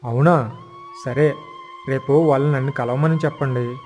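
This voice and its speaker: A man plays a kindly village schoolmaster in a cartoon moral tale. His voice sits at a middle pitch, teacherly and encouraging. Light music plays behind him. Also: steady tone, normal speed, a little mellow, lightly acted. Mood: concerned. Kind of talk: animated moral story dialogue.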